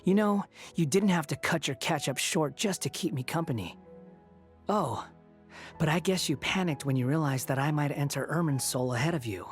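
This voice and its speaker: A man's voice: fairly high pitch, normal speed, faint music behind, natural voice. An actor playing a smug, sardonic young man in a video game, speaking in a cool, snide and condescending manner. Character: smug, sardonic young male prisoner on temporary release.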